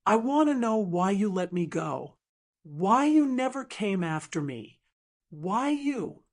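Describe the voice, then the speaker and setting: A woman plays a proud female executive in her fifties in an audiobook drama. She is firm and guarded, speaking at a medium pitch with measured slowness. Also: sing-song, a little mellow, exaggerated voice. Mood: wistful, searching.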